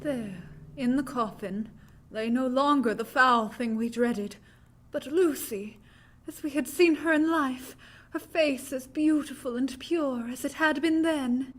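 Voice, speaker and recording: A woman's voice: sits high, unhurried, slight background noise. A woman playing a horrified young woman who recounts a gothic tale with intense, old-time radio drama delivery. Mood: hushed awe. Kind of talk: 1940s radio acting, dramatic narration.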